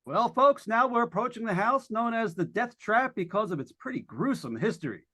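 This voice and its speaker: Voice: mid-range voice, lively intonation, moderate pace, very noisy, natural voice. An actor playing a chatty, wisecracking radio announcer on a ghost hunt, in 1940s radio drama style. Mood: breezy, joking. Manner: breezy, folksy, jokey.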